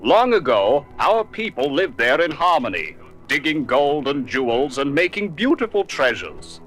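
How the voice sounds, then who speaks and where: A proud, stern leader of dwarves in a children's storybook recording. His voice is fairly high, forceful and expressive, with formal, commanding delivery. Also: measured pace, faint music behind. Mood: grave, solemn. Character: proud, stern dwarf leader, richly dressed.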